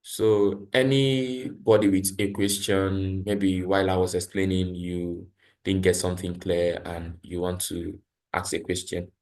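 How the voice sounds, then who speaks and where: A man's voice: low voice, little pitch movement, measured pace, a little mellow, rough recording. A man facilitating a live online training, explaining steps instructively and repeating his points. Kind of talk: live tutorial with screen demonstration. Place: online video call, voice over screen share.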